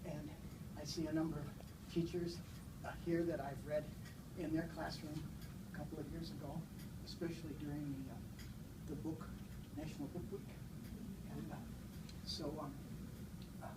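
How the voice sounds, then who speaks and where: A man in his sixties speaking to a live group as a guest storybook reader, in an unhurried, halting way. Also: on the high side, little pitch movement. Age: 60s. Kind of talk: informal live introduction and children's storybook read-aloud. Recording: distant mic, noisy room ambience.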